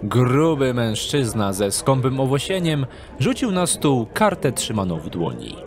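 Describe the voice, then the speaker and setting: A man narrating a scripted dark fantasy audio drama in a measured storytelling manner. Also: mid-range voice, very animated, crowd noise.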